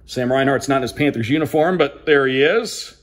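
A man's voice: mid-range voice, brisk. A man doing casual unboxing commentary with an upbeat, announcer-like delivery, calling out names like a sports broadcaster. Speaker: hockey play-by-play broadcaster hosting a card unboxing.

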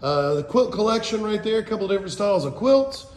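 A man's voice: sits high, lively intonation, fast, a little mellow. A man in his forties giving a casual, folksy auction walkthrough, listing items for viewers on a handheld phone. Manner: folksy, addresses viewers as guys. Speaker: auction house presenter. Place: large indoor auction hall and warehouse. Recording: echoey warehouse hall reverb.

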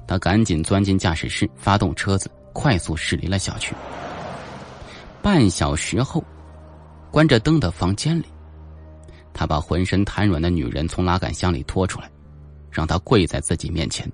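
A man's voice: sits low, lively intonation, moderate pace, long pauses, soft background music. A man narrating a suspense audiobook in a dramatic, scripted storytelling style.

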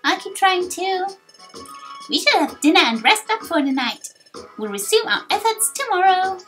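Woman as a bright-spirited, high-pitched game creature. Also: big pitch swings, moderate pace, soft background music, heavily acted, poor audio. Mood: upbeat, determined.

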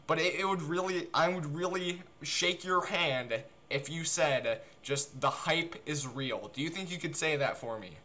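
A man talking casually and excitably as a game streamer, with repetitive, stammering phrasing on a noisy livestream recording. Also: on the high side, measured pace, few pauses. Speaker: game streamer making a prank call.

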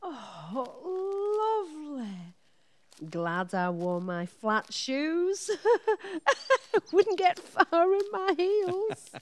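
A woman acting a married wife in a radio play, chatty and expressive, quick to exclaim and a little wary. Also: sits high, heavily acted. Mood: cheerful. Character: married countrywoman on an outing, chatty, easily flustered wife.

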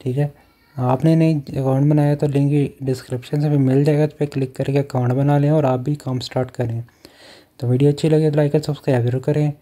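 A man's voice: medium pitch, little pitch movement, brisk, warm tone. A man, talking through an app step by step as a voice-over for a phone screen recording. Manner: instructional, repeats step-by-step directions. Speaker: online earning channel host.

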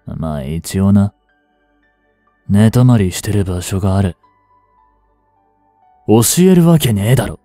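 An actor playing a cocky, rough-tongued young male delinquent in a Japanese audio drama, with brash, slangy tough-guy talk. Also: on the low side, animated, measured pace, pauses often, dark tone, dry recording, soft background music. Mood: irritated, gruff. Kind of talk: Japanese audio drama acting, one-sided dialogue to the listener.

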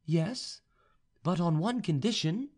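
A haughty young noblewoman from a storybook romance, acted out by a male reader. The voice is lifted high and moves with lively pitch, deliberate and imperious in every phrase. It sounds proud, composed and used to being obeyed. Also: full character voice. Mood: firm, commanding. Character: proud, haughty young woman, coolly commanding in love. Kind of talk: audiobook character dialogue.

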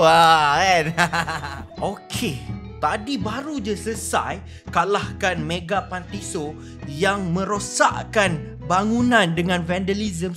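A Malaysian man hosting a gaming video with excited, animated roleplay commentary, exclaiming and drawing out words. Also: high voice. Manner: animated, exclaiming, drawn-out shouts.

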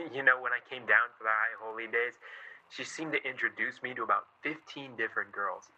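An actor playing a sardonic but earnest young newspaper writer, conversational and exasperated, in a radio play. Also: male voice, mid-range voice, fairly quick, bright, forceful tone, dull audio. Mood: wry. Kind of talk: radio play acting, naturalistic dialogue.

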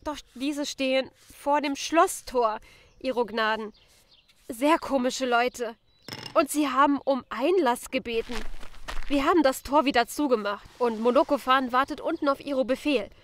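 A woman's voice: high-pitched, normal speed, slight background noise, pitched above the performer's own voice, close to an everyday voice. A woman playing a flustered, deferential young lady's maid in an audio play, anxious and earnest. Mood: anxious, insistent. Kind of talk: audio drama character reading.

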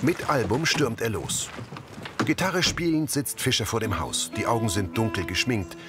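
A man's voice: mid-range voice, animated, moderate pace, music in the background. A man reading scripted audio description for a TV crime series.

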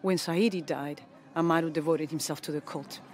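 A middle-aged woman playing a dignified, resolute rebel leader in a video game, speaking with grave, measured authority. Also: sits low, fairly even intonation, background noise, natural voice. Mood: grave, explaining. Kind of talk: dramatic video game acting.

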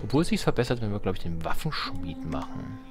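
A man's voice: fairly low pitch, very animated, fast, loud music behind the voice. A man giving casual, off-the-cuff gameplay commentary, muttering to himself with playful exclamations. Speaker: let's player.

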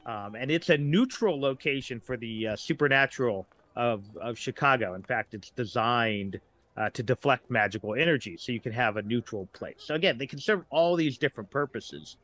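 Bright-voiced middle-aged man chatting. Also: mid-range voice, normal speed. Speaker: guest writer. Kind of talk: casual podcast discussion.

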